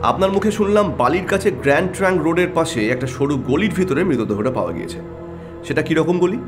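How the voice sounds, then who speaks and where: Animated, probing detective played by a man. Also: on the high side, fast, music in the background, close to an everyday voice. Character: keen, brooding, excitable male private detective. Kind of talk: audio drama acting.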